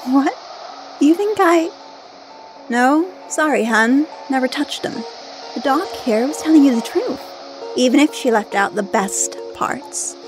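Actress doing mocking evil ghost girl, young woman, radio drama, casual smug menace, highish voice. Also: animated, a little slow, over music, poor audio. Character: mocking, powerful young female vengeful ghost.

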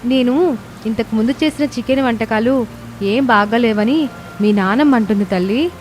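A woman's voice: a little high, moderate pace, darkish tone, slight background noise, close to an everyday voice. A woman playing a dutiful, easily hurt young daughter-in-law in a cartoon moral story, plaintive and respectful. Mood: sulky.

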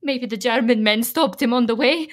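An actress playing a desperate Slovak immigrant wife in a radio play, pleading and defiant in halting, Slovak-accented English. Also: female voice, on the high side, lightly acted. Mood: anguished confession.

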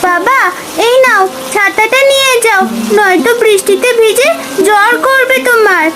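A woman's voice: extremely high pitch, normal speed. A woman voicing an anxious young village daughter in a cartoon, with a thin, childlike voice. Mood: caring. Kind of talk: cartoon voice acting. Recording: clearly noisy, loud rain-like background.

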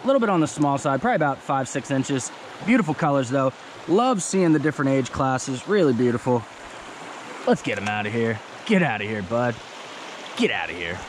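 A young man vlogging as he fishes outdoors by a creek, chatting in a relaxed, eager way into an action camera he wears. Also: mid-range voice, a little fast, a little hiss or hum. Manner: relaxed, chatty, enthusiastic about fish. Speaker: fishing vlogger. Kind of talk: casual outdoor commentary. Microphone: action camera on the body.

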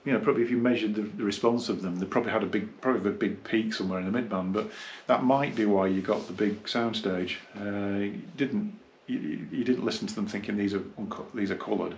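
A man gives a casual, unscripted hi-fi review, rambling and halting as he reminisces. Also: fairly low pitch, fairly even intonation, a little mellow, slight background noise, rough recording. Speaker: hi-fi dealer and reviewer. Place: small carpeted indoor room, seated.

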